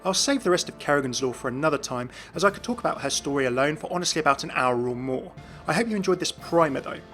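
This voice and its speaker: A man's voice: a little high, lively intonation, quick pace, a bit bright, faint music behind. A man hosting a video, giving scripted narration of video game lore.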